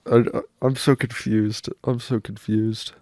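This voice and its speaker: A man co-hosting a comedy podcast, speaking in a deadpan, ironic way and asking lots of questions. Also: on the low side, unhurried, dry recording. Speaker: podcast co-host. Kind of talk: casual comedy podcast chat.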